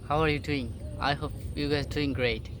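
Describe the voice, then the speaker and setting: A young man from Bangladesh, a native Bengali speaker, giving a casual motivational talk to camera in halting English full of fillers and restarts, sitting on a boat outdoors. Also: fairly high pitch, fairly even intonation, a bit bright, slight background noise. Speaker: vlogger. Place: sitting alone on a boat outdoors in a rural area.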